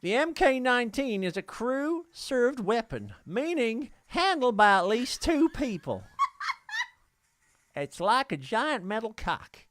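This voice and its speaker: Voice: medium pitch, big pitch swings, slow, a bit bright. A podcast host reading listener mail aloud in a jokey, sweary way, often stumbling over the text.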